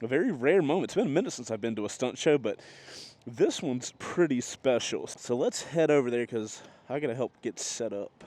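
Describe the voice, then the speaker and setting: A man's voice: medium pitch, lively intonation, brisk, darkish tone. A young man, sniffly from allergies, chatting upbeat in an outdoor motovlog. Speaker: motorcycle vlogger, show host. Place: outdoors by a road. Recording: outdoor noise behind voice.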